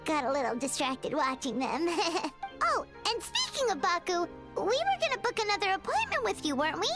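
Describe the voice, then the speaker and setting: A woman playing a tiny, cute, excitable childlike fairy companion in an anime game, with music behind her. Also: very high-pitched, brisk, full character voice. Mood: chirpy, excited. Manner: speaks of self in third person, exclaiming.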